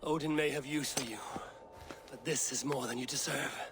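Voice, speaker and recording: An actor playing a blunt, loyal Viking raider in a video game, grave and restrained. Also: male voice, mid-range voice, fairly even intonation, normal speed, background noise, natural voice. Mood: stern contempt. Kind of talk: dramatic video game acting.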